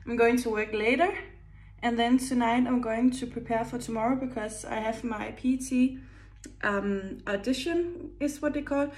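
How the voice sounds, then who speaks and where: A woman's voice: fairly high pitch, steady tone, moderate pace, darkish tone. A young woman in her twenties with a Danish accent, chatting casually in a vlog, often laughing and hunting for English words. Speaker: vlogger, gym worker. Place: kitchen at home, indoors. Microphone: phone at arm's length.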